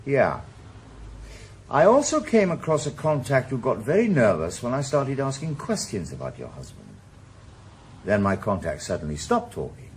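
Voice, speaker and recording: A man's voice: medium pitch, wildly swooping pitch, moderate pace, slight background noise. An actor playing a weary retired policeman turned private eye in a radio drama, dry and laconic. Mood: probing. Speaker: private investigator. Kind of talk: 1980s radio drama acting.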